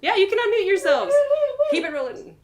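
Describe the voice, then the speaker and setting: A woman's voice: very high-pitched, sing-song, quick pace, heavy background noise. An American woman talking casually as storyteller and host to a live online audience on a video call.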